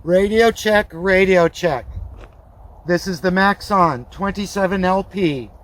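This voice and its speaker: A man making CB radio test calls with deliberate, repetitive radio-operator phrasing. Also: high-pitched, a bit bright, slight background noise, poor audio. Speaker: CB radio reviewer. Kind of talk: radio check test calls and product review talk.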